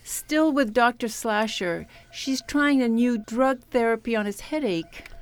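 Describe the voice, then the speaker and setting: A woman's voice: fairly high pitch, a little slow, clear, resonant tone, close to an everyday voice. A woman playing a touchy, exasperated empath counselor in a comedic radio play, peevish and sarcastic. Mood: wry. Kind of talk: comedic radio theatre parody acting.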